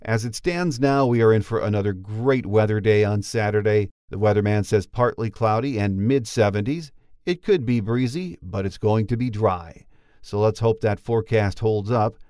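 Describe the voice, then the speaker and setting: Older guy doing a college sports podcast, lowish voice, bit of music around. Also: darkish tone, no echo, clean studio sound. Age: 60s or older. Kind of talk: sports talk and scripted game notes.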